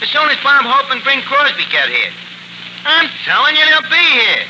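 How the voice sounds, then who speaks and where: Through heavy noise on a 1940s radio recording comes a tavern manager. His pitch is high and swings widely as he wisecracks in slangy, mangled English. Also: huge pitch swings, normal speed, full character voice. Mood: pleading. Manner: malapropisms, slangy ungrammatical speech. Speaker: host. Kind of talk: 1940s radio comedy acting.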